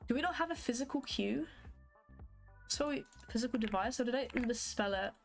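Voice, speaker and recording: Chatty young woman, fairly high voice. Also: animated, fairly quick, soft background music. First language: not English. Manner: rambling, many fillers, thinks aloud. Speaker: livestreamer coding a graphics engine. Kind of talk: casual live-coding chat with viewers.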